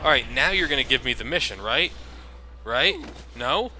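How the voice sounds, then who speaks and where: A man's voice: mid-range voice, expressive, normal speed, rough recording. A man giving casual video game commentary in an informal, sweary way. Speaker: gameplay commentator. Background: game music and sound.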